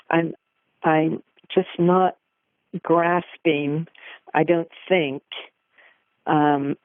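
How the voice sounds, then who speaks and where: A woman caller asking a question over the telephone in a deliberate, polite, halting way. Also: on the low side. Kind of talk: formal, careful question on a call. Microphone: telephone call. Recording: echoless, narrow telephone line.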